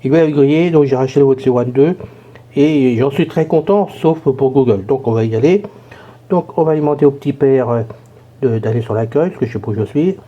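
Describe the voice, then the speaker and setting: A hoarse man talks through a device tutorial in a loose, rambling way, full of fillers. His voice is very dark and mellow. The recording sounds dull and lo-fi. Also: medium pitch, brisk, slight background noise. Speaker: tech tutorial presenter. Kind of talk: casual spoken tutorial.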